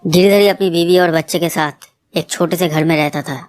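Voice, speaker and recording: A woman's voice: fairly low pitch, steady tone, a little fast, a little mellow, no room sound. A woman reading a scripted horror story as its narrator. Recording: noisy track with running water sounds.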